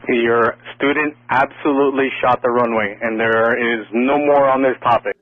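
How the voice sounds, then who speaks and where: A man's voice: medium pitch, fairly even intonation, normal speed. Narrow radio sound, tower controller guy, mid voice, curt and firm, clipped phrases. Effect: air traffic radio. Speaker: air traffic tower controller. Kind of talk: clipped radio instructions and rebukes. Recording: narrow, tinny air traffic radio.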